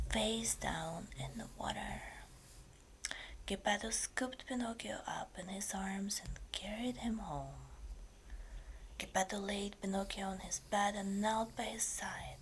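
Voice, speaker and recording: A woman reading a fairytale as ASMR in a breathy whisper, slowly and deliberately. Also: mid-range voice, a little hiss or hum, poor audio. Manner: slow, deliberate storytelling delivery. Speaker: ASMR creator and story reader.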